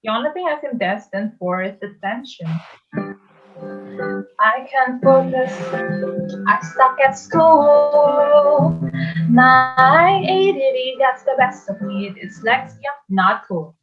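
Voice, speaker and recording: A sullen young boy voiced by a woman with a high voice and a slow, blunt delivery. He is sardonic and disaffected in a stage musical read, heard through dull audio with music behind. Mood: self-doubting. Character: disaffected, sarcastic young boy, protective of his mother. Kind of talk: musical theatre table read.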